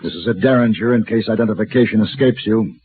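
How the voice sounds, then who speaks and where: An actor playing a suave, self-assured gunfighter for hire in an old western radio drama, speaking in a formal, polished, deliberate way. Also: male voice, fairly low pitch, lightly acted. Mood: brisk, wry. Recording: old radio broadcast, narrow band, noisy.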